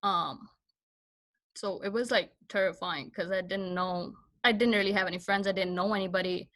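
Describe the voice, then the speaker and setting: A young woman from Pakistan whose first language is Punjabi, a guest speaking in a soft, hesitant way with many fillers. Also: mid-range voice, steady tone, moderate pace. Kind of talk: casual interview chat.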